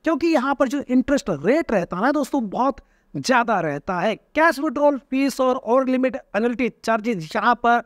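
A man in his thirties explaining card benefits straight to camera, animated and gesturing constantly, recorded on a lapel mic in a small room. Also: very high voice, normal speed, no echo, clean studio sound. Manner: animated, gesturing constantly, addresses viewers as friends. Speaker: personal finance YouTuber. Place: small indoor room with a floor lamp.

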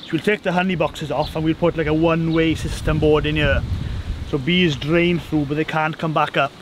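A man's voice: a little high, moderate pace, noisy background. A man in his thirties from Wales, a beekeeper talking casually about his work, often repeating words as he goes. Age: 30s. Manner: relaxed, repeats words as he talks. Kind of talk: casual interview chat. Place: farm kitchen and woodland hive site.

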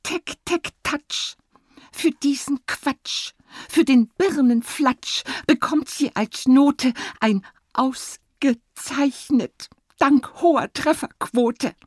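A woman's voice: sits high, dry recording, studio quality, pitched above the performer's own voice. A woman voicing a chattering female bird teacher, gleeful and indignant, in a children's audiobook. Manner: chattering calls, drawn-out gleeful words.

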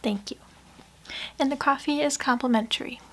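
A young adult woman speaking softly and politely up close, in an ASMR personal-attention roleplay as a portrait painter serving a client. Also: high-pitched, moderate pace, slight background noise. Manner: soft-spoken, polite customer service. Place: small indoor craft room.

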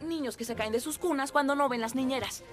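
A man's voice: very high-pitched, fast talker, a bit bright. An actor playing a proud, carefree adolescent boy in dubbed fantasy film dialogue. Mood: matter-of-fact. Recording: film soundtrack with music behind.

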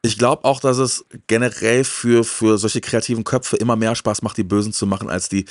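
A man's voice: lowish voice, fairly even intonation, normal speed, no room sound. Guy in his 30s hosting a fan chat, really emphatic, repeats himself, dry close mic sound. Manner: emphatic, repeats words for stress. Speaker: host of a retro TV talk show. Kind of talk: casual enthusiastic fan chat. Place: small indoor room with shelves of media. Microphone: handheld microphone.

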